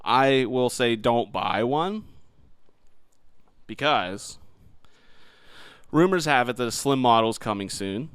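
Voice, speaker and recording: Man, mid-pitched, very lively intonation, casual podcast co-host, dry sound. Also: takes it slowly, long pauses, smooth, no room sound. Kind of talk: casual gaming chat.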